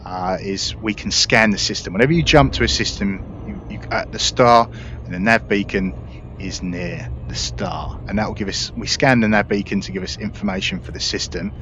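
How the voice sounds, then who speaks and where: A man's voice: on the low side, moderate pace, pushed and bright. A man giving casual, explanatory gameplay commentary in a conversational way. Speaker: gaming video creator. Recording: muffled mic with faint noise.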